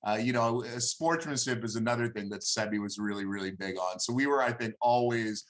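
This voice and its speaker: A man in his thirties whose first language is Hebrew, answering interview questions in a halting, conversational way, repeating words as he thinks aloud. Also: mid-range voice. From born in Israel, lived there until seven. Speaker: interview guest, former college wrestler.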